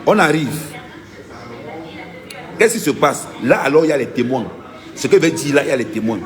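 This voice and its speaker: A man's voice: mid-range voice, animated, moderate pace. A man, an activist vlogger giving an insistent, emphatic first-person account and repeating his key points.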